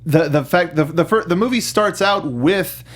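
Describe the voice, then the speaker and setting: Male co-host, voice a little on the high side, informal review chat, speaks his opinions freely. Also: normal speed. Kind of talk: casual chat reviewing video game films.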